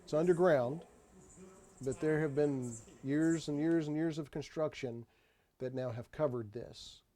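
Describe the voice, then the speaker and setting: A man giving an unscripted tour voice-over, explaining sights in a halting way and often repeating his phrases. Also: fairly high pitch, warm tone. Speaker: tour narrator. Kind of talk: unscripted travel video voice-over.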